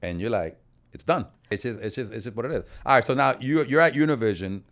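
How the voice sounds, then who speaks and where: A man's voice: mid-range voice, animated, fast, fairly bright tone. A man hosting a podcast in casual, slangy chat, repeating words for emphasis. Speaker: podcast host, interviewer.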